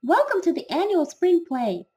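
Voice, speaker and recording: A woman's voice: very high-pitched, big pitch swings, moderate pace, dark tone, voice pushed up. A woman voicing an earnest, polite, nervous schoolboy in a children's storybook, with emphatic delivery. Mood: nervous, formal.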